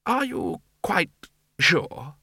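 A man voicing a pompous senior male wizard who talks haltingly with ums and mms, in an audiobook. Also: sits high, very animated, pushed and bright, slightly rough, dry recording, clean studio sound, pitched above the performer's own voice, heavily acted. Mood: doubtful. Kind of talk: audiobook character voice.

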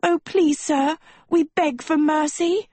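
High, melodramatic whiny teenage girl. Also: normal speed, a little mellow, no room sound. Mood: begging. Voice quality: high, breathy, wailing. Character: dramatic, vain, pleading teenage girl.

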